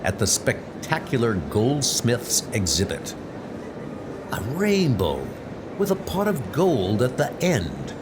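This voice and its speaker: A man narrating a comic book story in a deliberate, theatrical scripted style. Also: mid-range voice, wildly swooping pitch, background noise. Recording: loud music under the voice.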